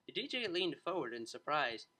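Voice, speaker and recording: A storybook narrator with a bright voice and a lively, varied melody, reading scripted fiction. The delivery moves expressively through the prose. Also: mid-range voice, normal speed.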